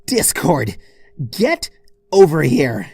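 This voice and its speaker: Storybook pony heroine, male reader: young female, bossy, indignant, sarcastic, very animated pitch. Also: slow pace, gravelly, breathy edge, dry recording, exaggerated voice. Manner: huffy, sarcastic, posturing.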